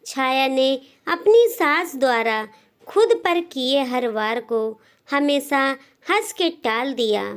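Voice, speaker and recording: Slow, high-pitched woman storyteller. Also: lively intonation, clear, resonant tone. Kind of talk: scripted moral story narration.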